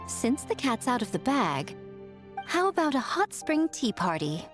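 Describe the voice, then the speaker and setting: A woman voicing a sly, self-assured, elegant schemer in an anime game, playful and unhurried. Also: a little high, big pitch swings. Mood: teasing, smug. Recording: music under the voice.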